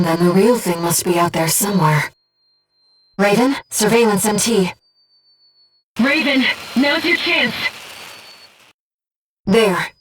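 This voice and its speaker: A woman voicing a calm, earnest, gentle disembodied female guide for a pilot in a video game, with measured, precise delivery. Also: medium pitch, slightly rough, distant mic, low-quality recording. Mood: composed, then urgent alarm. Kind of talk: scripted video game voice acting, mission support.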